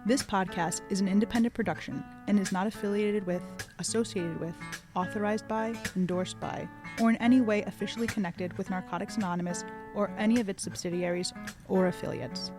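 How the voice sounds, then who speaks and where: A woman announcer reads scripted podcast narration in a voice of medium pitch. Plucked guitar music plays clearly behind her. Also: fairly even intonation, a little fast. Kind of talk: scripted intro and disclaimer narration.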